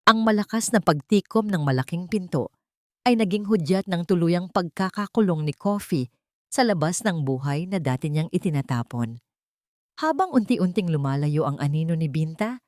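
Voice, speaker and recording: Low-voiced Filipino woman narrating a drama, dry sound. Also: no echo. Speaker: narrator of audio love stories. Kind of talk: scripted dramatic storytelling narration.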